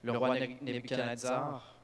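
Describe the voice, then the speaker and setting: A man, a native English speaker, preaching in a church with lively bursts of emphasis and frequent restarts. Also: medium pitch, moderate pace. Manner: animated preaching with restarts and self-corrections. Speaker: youth speaker. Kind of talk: sermon.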